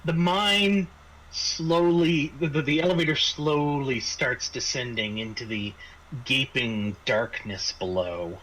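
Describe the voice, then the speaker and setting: A man narrating a tabletop roleplaying game as game master, halting and repeating words as he improvises. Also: mid-range voice, fairly bright tone, low-quality recording.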